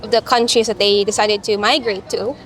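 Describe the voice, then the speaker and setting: A woman's voice: mid-range voice, steady tone, brisk. A young woman with a Filipino accent, chatting in an interview and often searching for words with a giggle. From Philippines. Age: young adult. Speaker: interviewee, psychology student. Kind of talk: casual chat. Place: coffee shop in a mall.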